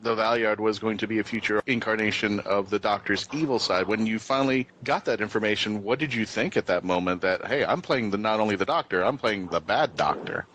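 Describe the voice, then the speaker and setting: A man's voice: on the low side, fairly quick, few pauses, fairly bright tone. Eager American male interviewer, faint noise behind. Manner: effusive, eager. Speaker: radio interviewer. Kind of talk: enthusiastic fan interview chat.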